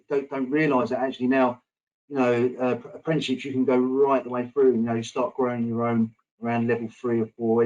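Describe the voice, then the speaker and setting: A man speaking as a guest on a panel, halting, with many restarts and fillers. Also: medium pitch, darkish tone, low-quality recording. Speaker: employer guest. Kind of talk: casual panel talk.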